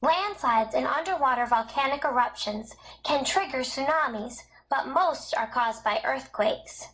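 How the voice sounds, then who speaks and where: A woman narrating a school textbook in a measured, scripted, clearly enunciated read-aloud. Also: high voice, fairly bright tone, a little hiss or hum, poor audio.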